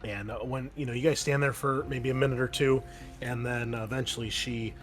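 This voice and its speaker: A man narrating a tabletop roleplaying game as game master in a casual, conversational, halting way. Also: medium pitch, fairly even intonation, faint music behind.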